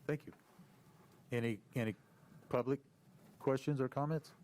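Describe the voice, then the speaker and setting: An American Midwestern man chairing a county commission meeting, brisk and procedural. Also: mid-range voice, fairly even intonation, a little mellow, slight background noise.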